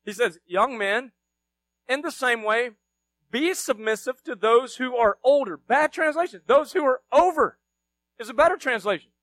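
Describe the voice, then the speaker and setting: A middle-aged preacher with a strikingly high voice, swinging from hushed asides to loud, emphatic bursts. He keeps throwing rhetorical questions at the congregation. The sound is close and dry, with no room around it. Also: animated, moderate pace, dry recording. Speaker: pastor. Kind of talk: sermon.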